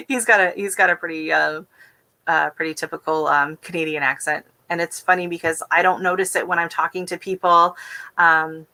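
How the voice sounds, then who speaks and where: Rambly american lady on a garden livestream, lowish voice, kinda sharp and bright. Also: moderate pace. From Texas, USA. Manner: rambling, chatty, filler-heavy. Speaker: gardening channel host. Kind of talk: casual live-stream chat.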